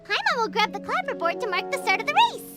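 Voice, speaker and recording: A tiny, naive fairy companion in a video game, extremely high and squeaky. The delivery is bubbly and childlike, swinging widely in pitch. Music plays clearly behind the voice. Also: female voice, very animated, fast talker. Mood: excited, curious. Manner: exclamatory, refers to self in third person. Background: background music.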